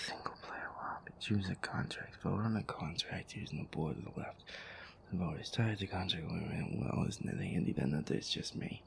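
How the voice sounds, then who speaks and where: A man playing a feisty, sweary werewolf boyfriend who grumbles his way through a horror game in an audio roleplay, his voice breathy and gravelly. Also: deep voice, moderate pace, in a whisper. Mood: grumbling, uneasy. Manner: exasperated, sweary grumbling, reading game text aloud. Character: feisty werewolf boyfriend, grumpy, sweary, easily scared gamer.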